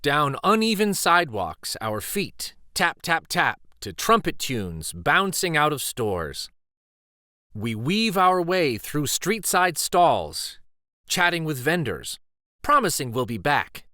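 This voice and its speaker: A man reading a children's picture book aloud with expressive, deliberate storytelling delivery. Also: fairly high pitch, no room sound, studio quality.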